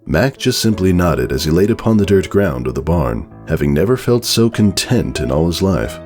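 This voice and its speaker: A man's voice: deep voice, very animated, moderate pace, darkish tone. A man narrating a story as a dramatic, scripted reading. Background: background music.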